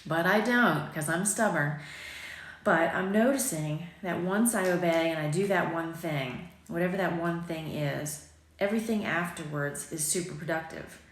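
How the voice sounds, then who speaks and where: Low-voiced woman chatting in an echoey kitchen. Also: moderate pace. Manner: chatty, rambling, self-deprecating, with frequent ums. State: slightly raspy morning voice. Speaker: boutique owner vlogging. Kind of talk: casual morning chat to viewers. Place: sunny home kitchen, indoors. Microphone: phone camera at arm's length.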